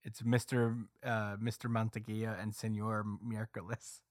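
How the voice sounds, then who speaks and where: A man hosting a casual podcast, rambling with fillers, his voice getting raspy. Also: on the low side, monotone, normal speed, dry recording, clean studio sound. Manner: laid-back, rambling with many fillers. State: voice going raspy. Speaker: podcast host.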